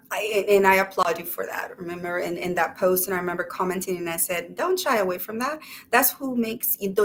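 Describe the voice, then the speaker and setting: A woman's voice: mid-range voice, fairly bright tone. Enthusiastic woman co-host, faint hiss. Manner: enthusiastic, encouraging, with fillers. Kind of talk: casual interview chat.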